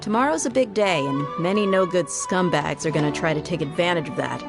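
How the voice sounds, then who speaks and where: A woman's voice: fairly low pitch, lively intonation, brisk, creaky. A woman playing a loyal, protective bodyguard in a video game, sounding guarded and grudging. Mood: grudging, wary. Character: reluctant, protective female bodyguard, wary and loyal. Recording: music behind the voice.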